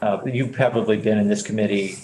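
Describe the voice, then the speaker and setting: A man's voice: lowish voice, fairly even intonation, a little fast, darkish tone. A man chairing a legislative committee who speaks in an easygoing, hesitant way with frequent "uh"s. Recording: echoey hearing room with faint hiss.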